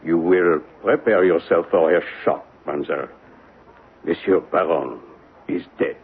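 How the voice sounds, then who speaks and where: A man playing a grave, formal police inspector questioning deliberately, in old-time radio drama style. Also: deep voice, creaky, husky, full character voice. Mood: grave, official. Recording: muffled phone-like old radio broadcast.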